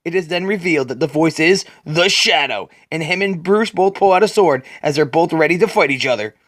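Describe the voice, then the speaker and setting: A man's voice: on the high side, fairly quick, fairly bright tone. A man hosting a comic reading series, delivering scripted narration of the story.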